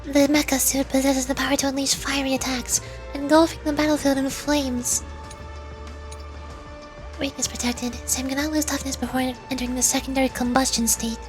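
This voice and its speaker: Female game streamer, high register, dramatic read-alouds and offhand commentary over game music. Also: flat delivery, normal speed. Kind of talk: live game playthrough, reading dialogue aloud and reacting. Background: video game music.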